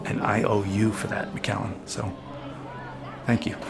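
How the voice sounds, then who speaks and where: A man playing an earnest, humble boat captain in an audio drama, speaking sincerely and a bit haltingly. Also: fairly low pitch, level tone, vocal fry, crowd noise. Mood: earnest, tender. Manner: halting, sincere, plain-spoken. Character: earnest, humble former boat captain in love-tinged friendship. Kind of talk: naturalistic audio drama acting.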